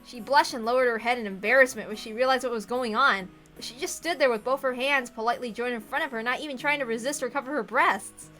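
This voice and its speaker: High, bright-voiced woman reading aloud. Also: fast, hardly any pauses, soft background music. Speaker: reader of a visual novel. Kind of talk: expressive read-aloud with asides.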